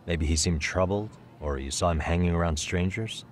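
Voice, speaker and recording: An actor playing a calm, streetwise private detective in a video game dub, with a cool, probing, wry delivery. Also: male voice, sits low, moderate pace, slight background noise, lightly acted. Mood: gentle, questioning.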